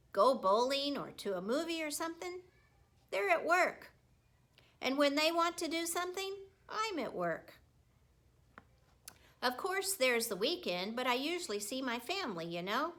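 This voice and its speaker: A woman's voice: high-pitched, lively intonation, moderate pace, fairly bright tone, pitched above the performer's own voice. A woman reading a children's book, voicing a friendly, chummy male school janitor who talks in a folksy, exclamatory way. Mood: chatty, confiding. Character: friendly, hearty male school janitor, big-hearted and chatty. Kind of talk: children's book read aloud.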